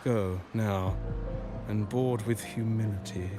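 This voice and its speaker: A game-cutscene preacher, solemn and sermonizing, lowish voice, very slow. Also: male voice, fairly even intonation, music in the background. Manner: sermonizing, measured. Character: solemn male preacher of pilgrims.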